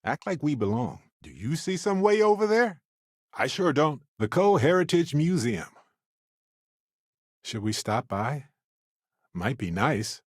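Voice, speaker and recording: Video game companion: adventurous, wry spacefaring man, very lively delivery, dry close studio sound. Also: fairly high pitch, unhurried, long pauses, husky, no echo, heavily acted. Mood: alert, then upbeat, curious. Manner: wry, playful, expressive.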